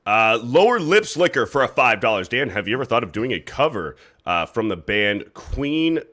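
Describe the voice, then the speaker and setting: A man's voice: mid-range voice, very animated, normal speed, pushed and bright. A man hosting a casual livestream, reading super chats aloud and chatting loosely with a guest, with some swearing. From United States. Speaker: livestream host.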